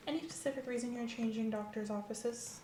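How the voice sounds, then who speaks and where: A woman's voice: on the high side, a little fast, dark tone, lightly acted. A woman playing a courteous, reassuring doctor in an ASMR medical roleplay, asking methodical questions in a polite, professional way. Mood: calm, attentive. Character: courteous, reassuring female doctor doing a checkup. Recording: roomy sound with faint background noise.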